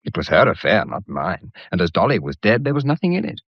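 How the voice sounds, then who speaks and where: A man's voice: on the low side, wildly swooping pitch, fairly quick, gravelly, breathy edge, no room sound, muffled recording, heavily acted. An actor playing an earnest, frank male family friend who remonstrates with exasperation in a period drama. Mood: frank, defensive. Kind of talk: period radio drama acting.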